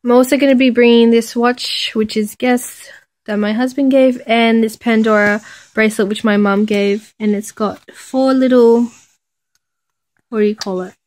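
A woman's voice: fairly high pitch, fairly even intonation, normal speed, darkish tone. A young woman in her twenties talking casually into her phone, rambling with lots of fillers and self-corrections, first showing her packing and then filming herself in an airport terminal. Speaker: vlogger. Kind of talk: casual vlog chat. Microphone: phone held at arm's length.

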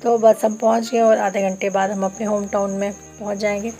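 A woman's voice: medium pitch, flat delivery, fairly quick, dark tone, faint music behind. A woman from Bokaro in India, chatting through her train journey as a travel vlogger and explaining each step to viewers on a handheld phone camera. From Bokaro, Jharkhand, India. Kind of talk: casual travel vlog narration. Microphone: phone camera held while filming.

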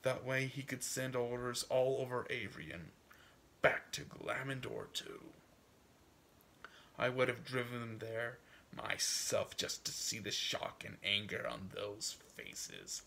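A man reading a story aloud as a proud, stubborn old farmer, folksy and musing, with a gravelly voice that drifts into hushed asides. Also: medium pitch, slow pace, slight background noise, exaggerated voice. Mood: wistful pride. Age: older. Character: proud, wistful, stubborn old farmer dreaming for his son. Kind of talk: dramatic audiobook reading in first person.

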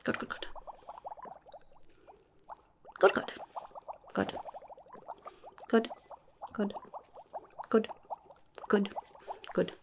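A woman voicing a rude, impatient goblin clinic helper in a whispery, creaky ASMR roleplay, muttering briskly and sarcastically up close. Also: mid-range voice, very animated, background noise, full character voice. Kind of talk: ASMR roleplay, chaotic medical exam. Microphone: close-up microphone.

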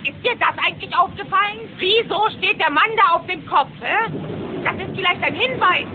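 A woman's voice: very high-pitched, moderate pace. A woman voicing a spirited young boy duckling, an eager and loyal nephew in a cartoon audio drama. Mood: curious. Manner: eager, encouraging. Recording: muffled noisy old cassette.

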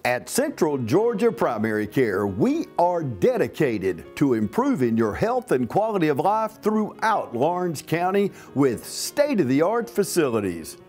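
A man with a fairly high, animated voice reads a scripted health care ad. Soft music sits behind him. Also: a little slow, few pauses. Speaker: commercial announcer. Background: music bed.

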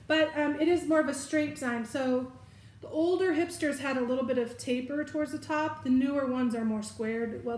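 A woman's voice: on the high side, roomy sound. A middle-aged woman chatting casually through a shopping haul at home, describing each bag's details and correcting herself as she goes. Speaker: vlogger showing a shopping haul. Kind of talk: casual product review. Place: living room at home, indoors. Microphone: fixed camera at table distance.

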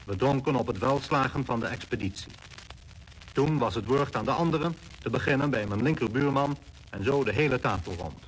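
Male actor doing an earnest expedition leader in an old radio play, formal n measured, bit of noise. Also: mid-range voice, lightly acted, rough recording. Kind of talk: scripted radio drama narration.

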